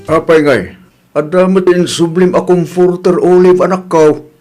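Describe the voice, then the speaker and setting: A man acting a caring, fair-minded older village father in a radio drama, gently admonishing, with an Ilocano accent. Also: sits high, steady tone, a little slow, soft background music. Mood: concerned.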